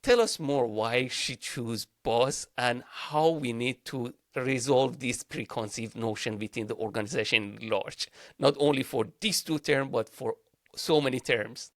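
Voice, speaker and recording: A man's voice: medium pitch, unhurried, no room sound, clean studio sound. Hesitant man, Farsi native speaker. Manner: hesitant, with frequent "uh" and non-native grammar. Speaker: podcast co-host. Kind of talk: interview question.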